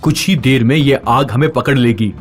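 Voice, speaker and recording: A man voicing earnest family men on a magical adventure in a children's animated story. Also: mid-range voice, normal speed, faint music behind, natural voice. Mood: urgent, worried.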